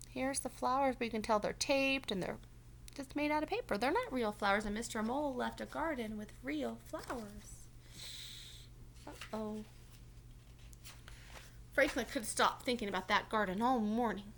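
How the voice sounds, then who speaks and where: Bright-voiced woman reading to children. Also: fairly high pitch, a little hiss or hum. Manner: expressive, simple child-directed explaining. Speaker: teacher. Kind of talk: children's read-aloud with explanatory asides.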